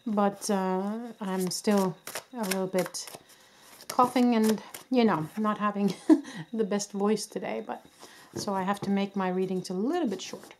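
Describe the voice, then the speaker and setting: A woman reads tarot cards at a candlelit table indoors, her voice worn from a cold. She speaks gently and reassuringly, with many fillers and small restarts. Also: mid-range voice, fairly even intonation, a little slow, slight background noise, low-quality recording. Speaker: tarot reader. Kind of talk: casual pick-a-card tarot reading. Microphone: camera above a table, hands only.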